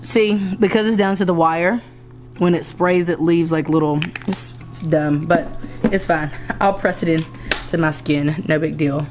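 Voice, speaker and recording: A young woman with a hoarse, lowish voice, talking to camera at home as she puts on makeup. She rambles in a chatty way, stopping to restart her sentences. Soft music plays faintly underneath. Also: a little slow. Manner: rambling, self-correcting, chatty. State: hoarse after a concert. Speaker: vlogger. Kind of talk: casual chat while doing makeup. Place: indoors at home.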